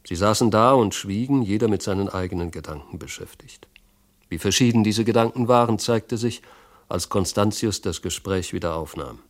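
A man reading a literary audiobook in an elegant, smooth, scripted manner. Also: lowish voice, normal speed, natural voice. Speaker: audiobook narrator. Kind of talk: literary scripted narration with acted dialogue.

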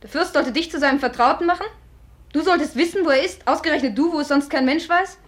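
An actress in a German radio play, playing a proud, sharp-tempered young upper-class woman in a theatrical manner. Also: high voice, quick pace, natural voice. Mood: indignant, scornful.

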